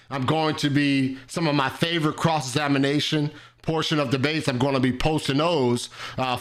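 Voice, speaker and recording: A man in his thirties hosting a live debate show, relaxed and casual, saying "man" a lot. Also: mid-range voice. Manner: laid-back, says "man" often. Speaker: host.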